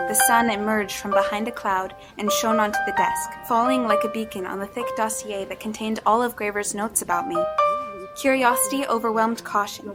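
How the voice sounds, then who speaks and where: A woman's voice: fairly high pitch, close to an everyday voice. A woman voicing a resolute young woman narrating her ordeal, over music. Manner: measured, introspective storytelling. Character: young woman trapped in a man's body, determined. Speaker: narrator and lead character. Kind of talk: audio drama narration.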